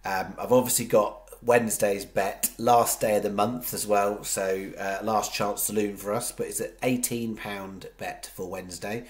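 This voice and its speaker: A man's voice: lowish voice, fairly even intonation, moderate pace, hardly any pauses. A man with a head cold hosting a horse racing tips show, talking casually and rambling with many restarts. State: congested with a cold. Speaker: horse racing tipster host. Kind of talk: casual chat to camera. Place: home studio.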